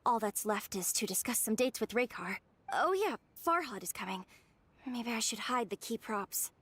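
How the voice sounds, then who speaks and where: A businesslike female stagehand from a video game. The voice is fairly high and slightly husky, musing aloud in a practical way. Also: sing-song, moderate pace, fairly bright tone, exaggerated voice. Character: businesslike female theater stagehand muttering plans.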